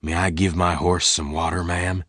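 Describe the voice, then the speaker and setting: A man voicing a big, calm stranger with guns who speaks quietly and politely. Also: low-pitched, moderate pace, no room sound, clean studio sound.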